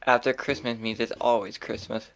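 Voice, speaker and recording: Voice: medium pitch, flat delivery, moderate pace, gravelly. A game streamer chatting casually and jokingly, with informal, sweary speech.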